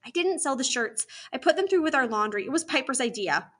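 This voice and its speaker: A woman's voice: high-pitched, expressive, a little fast, fairly bright tone, pitched above the performer's own voice. A woman voicing an anxious schoolgirl who protests meekly and defensively in an audiobook.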